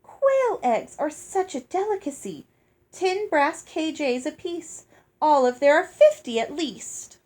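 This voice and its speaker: Woman putting on a prim, snooty girl, pitched high. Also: sing-song, slow pace, darkish tone, full character voice. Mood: prim, gushing. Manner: prim, condescending, firm. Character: snooty, greedy girl, falsely dainty and complacent.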